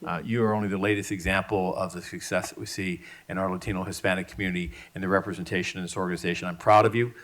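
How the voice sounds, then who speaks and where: A man's voice: deep voice, fairly even intonation, rapid-fire, hardly any pauses, fairly bright tone. A man, the police chief, giving formal remarks at a public meeting with frequent restarts.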